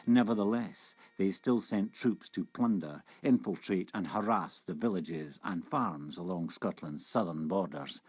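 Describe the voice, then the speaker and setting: A man narrates a fantasy introduction with solemn, measured drama. His voice is on the low side and quite husky. The sound is dry, with no room around it. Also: darkish tone, no echo.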